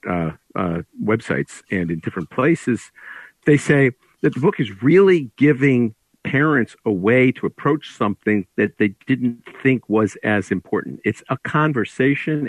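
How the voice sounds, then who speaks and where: A man speaking as an author in a conversational interview, explaining things through anecdotes in a husky voice. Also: lowish voice, dry recording, rough recording. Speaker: author discussing his book.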